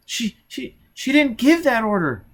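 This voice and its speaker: A man's voice: extremely high pitch, big pitch swings, fairly bright tone, pitched above the performer's own voice, exaggerated voice. A man voicing a frightened, sickly child who weeps, stammers and pleads in an audiobook story. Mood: confused, afraid. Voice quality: whiny, pleading. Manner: weeping, halting, stammering. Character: frightened, sickly, wailing telepathic child, a boy.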